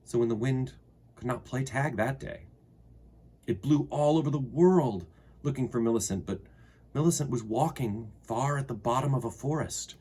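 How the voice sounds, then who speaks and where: A man reading a children's story aloud expressively, with deliberate phrasing and dramatic pauses. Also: medium pitch, warm tone, husky.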